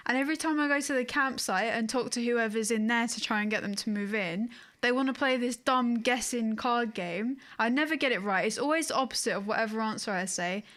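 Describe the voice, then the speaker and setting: A woman with a slightly high voice talks as a podcast co-host. She chats casually about games, telling things in an informal, wry, chatty way. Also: a little fast, hardly any pauses, a bit bright.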